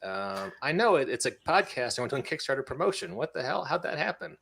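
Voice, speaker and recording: A man's voice: a little high, expressive, a little fast, vocal fry, fairly bright tone, breathy edge. An American man hosting a podcast, chatting casually in a jokey, informal manner. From United States. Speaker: podcast host.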